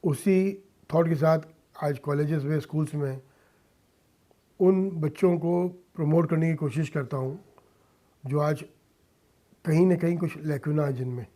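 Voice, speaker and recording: A man with a fairly high, very mellow voice is being interviewed in a radio studio. He speaks earnestly in short, halting phrases and leans on his points with emphasis. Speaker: interview guest, industrialist and philanthropist. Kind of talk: earnest interview answers mixing Hindi and English.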